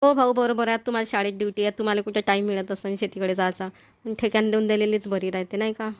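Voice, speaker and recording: A woman's voice: medium pitch, flat delivery, fast talker, smooth, natural voice. A woman playing a kindly, talkative older mother-in-law in a village cartoon, with a rural Maharashtrian Marathi accent and homely manner. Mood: chatty. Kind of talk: Marathi cartoon family comedy voice-over.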